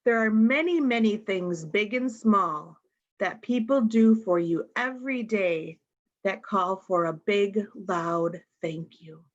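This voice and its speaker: A woman reads a picture book to young children at an unhurried, slow pace. She is expressive and speaks straight to the little listeners, often with questions. The recording is slightly dull and lo-fi. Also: mid-range voice. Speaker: storytime reader.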